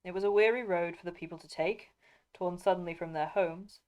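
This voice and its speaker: A woman's voice: on the low side, fairly even intonation, fairly quick, a little mellow, smooth, close to an everyday voice. A woman reading as a sorrowful noblewoman. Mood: subdued. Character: grieving young noblewoman, quiet and restrained. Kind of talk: reading a novel aloud.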